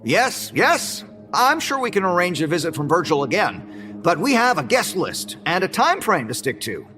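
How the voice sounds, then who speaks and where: Slick male showman, high voice, sweeping intonation, theatrical pauses, keynote host in a radio drama. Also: big pitch swings, over music. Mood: smooth, placating. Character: showy, slick male presenter, inventor's grandson. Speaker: event presenter.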